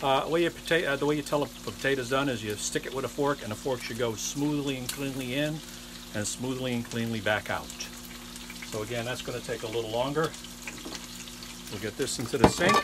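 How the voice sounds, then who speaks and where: A man in his sixties giving a casual, halting cooking demonstration as the host in a small kitchen. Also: medium pitch. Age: 60s. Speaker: home cook and cooking show host. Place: small trailer kitchen, indoors. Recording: noisy kitchen, frying sizzle behind voice.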